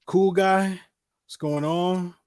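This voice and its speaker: A man's voice: sits high, steady tone, slow, a little mellow, clean tone, dry recording, studio quality. A man in his forties hosting a casual talk show, speaking emphatically and repeating himself to drive points home. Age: 40s. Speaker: host.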